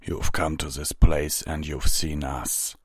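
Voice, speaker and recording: A stern, patient stallion captain in armor, voiced by a man reading a storybook, with a very low voice. He speaks in a measured, authoritative yet gentle way, sounding close and dry. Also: steady tone, slightly rough, dry recording, full character voice. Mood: calm, grave.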